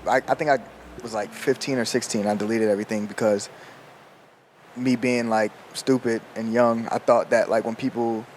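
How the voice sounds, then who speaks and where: A man's voice: medium pitch, little pitch movement, normal speed, a little hiss or hum. A man in his twenties chatting casually on a podcast, loose and slangy, laughing as he tells stories. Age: 20s. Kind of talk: casual chat.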